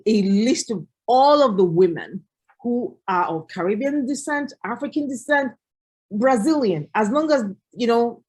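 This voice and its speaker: A middle-aged woman from Nigeria, a farmer and agriculture lecturer, telling stories with lively animation in a panel discussion. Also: mid-range voice, slightly rough. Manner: animated storytelling.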